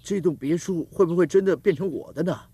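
An actor playing a humble, naive young traveller in a folk-tale cartoon, earnest, grateful and exclamatory. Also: male voice, high-pitched, quick pace, mellow, breathy edge, dry recording. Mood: naive wonder. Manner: earnest, wide-eyed, exclamatory.

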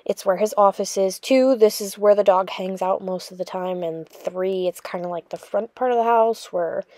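A woman's voice: mid-range voice, moderate pace, a little mellow. A young woman narrating her cleaning vlog in a chatty, rambling voice-over full of fillers. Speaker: cleaning vlogger.

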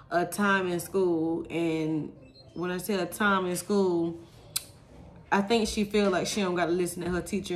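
Chatty young mom vlogging in her kitchen. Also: fairly low pitch. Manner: chatty, confiding, talks to viewers as y'all. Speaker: vlogger, mother of five. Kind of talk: casual vlog chat. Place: home kitchen and pantry, indoors. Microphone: phone camera, handheld or propped up.

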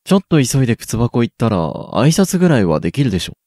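A man's voice: mid-range voice, big pitch swings, quick pace, no echo, clean studio sound. A young man playing a teasing, supportive schoolboy in a radio drama. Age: young adult. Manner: playful, gently needling. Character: teasing, supportive schoolboy best friend Ayato. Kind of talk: Japanese student radio drama acting.

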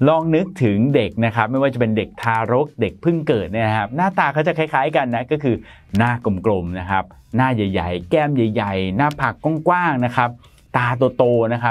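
Lively man explaining to camera. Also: medium pitch. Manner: polite, chatty, frequent soft particles. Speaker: presenter. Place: bright studio in front of a plain grey backdrop. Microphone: lapel mic.

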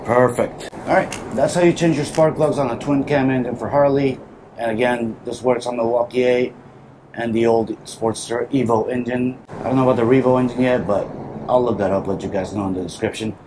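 A man's voice: mid-range voice, fairly even intonation, a little fast. A man, probably in his thirties, hosting a casual how-to vlog while he works in a small garage, speaking informally. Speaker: motorcycle vlogger, host. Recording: clearly noisy workshop ambience.

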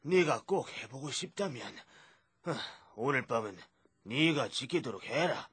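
Husky, animated old king. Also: medium pitch, measured pace, no room sound, heavily acted. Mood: solemn, resigned. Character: elderly king father, anxious and stern. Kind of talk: children's puppet theatre acting.

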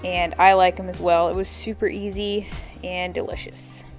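Casting: American woman, thirties, slightly low voice, informal homemaking vlog voice-over with music behind. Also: flat delivery, moderate pace. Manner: chatty, informal. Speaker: homemaking vlogger. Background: background music.

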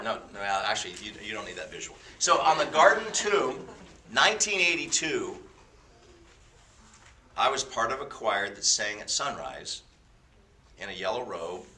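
A man in his fifties gives an informal sermon, his lowish voice ringing very bright and forceful. He drops jokey, self-deprecating asides into his stories. The sound is roomy and picked up from away from the mic. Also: far from the mic, slight background noise.

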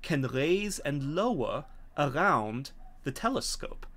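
A middle-aged man running a tabletop game as game master, narrating haltingly with frequent pauses over a video call. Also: on the high side, sing-song, fairly bright tone. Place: home room, indoors.

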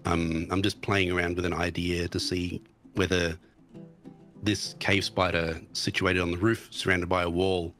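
A man with a very deep voice talks through his game in a loose, halting way, full of ums and restarts. He sounds a little thick, still recovering from tonsillitis. Faint music plays underneath. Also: flat delivery, fairly bright tone. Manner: hesitant, many restarts and ums. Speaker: guest streamer. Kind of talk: casual chat about a game.

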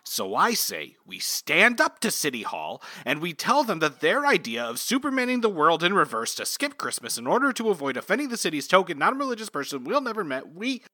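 Guy doing a worked-up small town guy, high bright voice, swoops everywhere. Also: wildly swooping pitch, fairly quick, hardly any pauses, no room sound, studio quality, heavily acted. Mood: earnest rallying. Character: earnest, agitated small-town resident rallying neighbours. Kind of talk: comic radio play acting.

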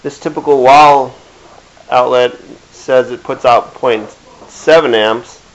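A middle-aged man giving a casual hands-on demonstration in a halting, plain-spoken way. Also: medium pitch. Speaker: DIY video maker. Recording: dull lo-fi camera sound with hiss.

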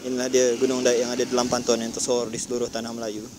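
A young man hosting a travel vlog outdoors, chatty and brisk, asking quick questions into a handheld mic. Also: mid-range voice, completely flat delivery. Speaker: travel vlogger. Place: outdoors by a village road. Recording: light outdoor background noise.

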